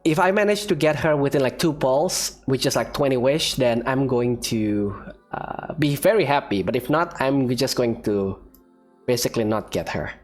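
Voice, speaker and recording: A man giving casual, expressive let's-play commentary, often repeating his phrases. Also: mid-range voice, normal speed, faint music behind. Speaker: gaming video creator.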